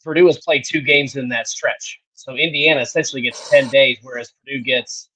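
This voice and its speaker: A man in his late thirties breaking down sports in a casual podcast chat. His voice sits at an ordinary middle pitch. He explains in a measured way and backs up his points with stats. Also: steady tone, sharp tone, a little hiss or hum, rough recording. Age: 30s. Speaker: sports analyst co-host.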